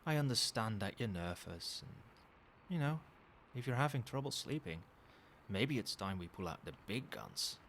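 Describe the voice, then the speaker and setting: A man playing a doting yet controlling, quietly menacing boyfriend in a soft-spoken ASMR roleplay, coaxing tenderly with pet names. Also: on the low side, normal speed. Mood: soothing, doting. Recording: steady background noise, night ambience. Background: rain and cricket sounds.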